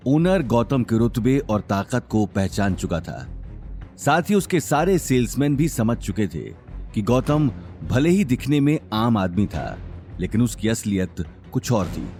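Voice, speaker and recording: A man's voice: mid-range voice, very animated, a little slow, mellow, faint music behind. A man narrating a scripted audio drama in a dramatic storytelling style.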